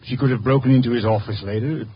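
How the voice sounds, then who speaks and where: A man's voice: mid-range voice, steady tone, rapid-fire, lightly acted. An actor playing a troubled, genteel middle-aged father in a 1950s radio drama. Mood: despairing, defensive. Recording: dull, noisy 1950 radio recording.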